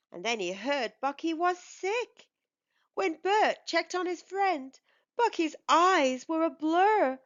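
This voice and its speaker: A woman reading a children's storybook aloud as a storytime host, with measured, expressive delivery. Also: high-pitched, dull audio.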